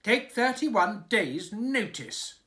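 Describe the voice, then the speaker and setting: Male reader as tantrum king, loud, high, shouting. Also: very animated, takes it slowly, exaggerated voice. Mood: furious. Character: spoiled, furious young man king.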